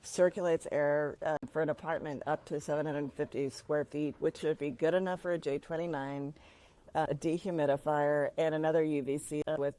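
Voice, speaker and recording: A woman's voice: low-pitched, steady tone, moderate pace, mellow, clean tone. A woman chatting casually in a rambling, upbeat vlog, talking outdoors. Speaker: vlogger.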